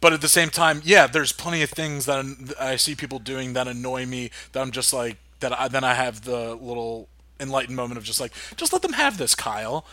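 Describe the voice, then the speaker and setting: A man's voice: mid-range voice, fairly quick, pushed and bright, no room sound. A man co-hosting a podcast, chatting casually in an agreeable, rambling way that often stumbles over his words. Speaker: podcast co-host. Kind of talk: casual chat.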